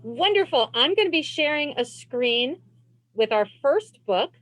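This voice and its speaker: A woman reading a children's storybook aloud as host, phrasing expressively and deliberately for young listeners on a video call. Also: high voice, expressive, a bit bright, no echo, poor audio. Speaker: librarian and read-aloud host.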